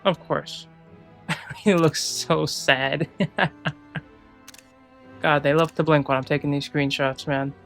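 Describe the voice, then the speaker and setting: Slow, creaky-voiced casual game streamer. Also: mid-range voice, flat delivery, fairly bright tone, soft background music. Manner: offhand, muttering to self. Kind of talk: casual let's-play commentary. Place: indoor gaming setup, game music behind.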